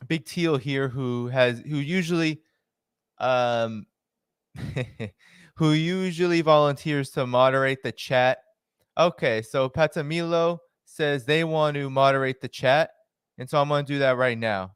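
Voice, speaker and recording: A man hosting his own live stream, chatting casually, greeting viewers by name and filling pauses with "uh" and "um.". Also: on the high side, clean tone, no echo, clean studio sound. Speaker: livestream host. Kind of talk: casual livestream chat.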